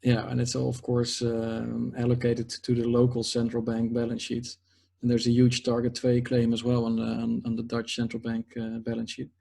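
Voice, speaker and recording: A man's voice: medium pitch, monotone, normal speed, creaky, mellow. A man hosting an interview, asking probing questions in a conversational way. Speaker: host.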